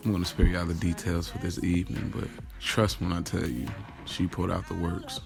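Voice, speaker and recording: A young man in love, his low voice thick with gravelly fry. He confides his story in an audio drama in loose, slangy talk, acting out the dialogue as he goes, with music underneath. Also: little pitch movement, fairly quick. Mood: happy, smitten. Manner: informal, slangy, acts out quoted dialogue. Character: lovestruck young man confiding his secret office romance. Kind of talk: first-person audio drama monologue, conversational storytelling.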